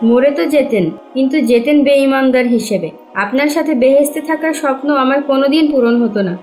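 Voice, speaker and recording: A woman's voice: sits high, a little fast, a little mellow, faint music behind, natural voice. A woman playing a devout, anxious wife who pleads earnestly in a cartoon dub. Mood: tearful reproach. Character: pious, fearful wife pleading with her husband. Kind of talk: dubbed religious cartoon acting.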